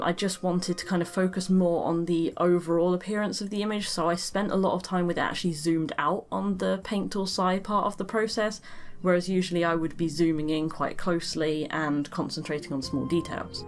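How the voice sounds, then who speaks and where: A woman chatting casually about her drawing as a voice-over, sounding a little croaky and tired, self-deprecating and laughing often. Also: lowish voice, steady tone, normal speed. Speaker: art channel host. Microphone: desk microphone. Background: background music.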